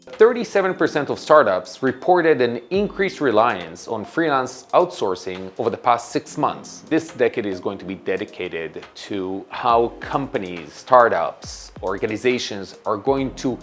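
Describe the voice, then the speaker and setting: A man's voice: medium pitch, big pitch swings, a little slow. Assertive man over faint music. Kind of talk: scripted insight delivery.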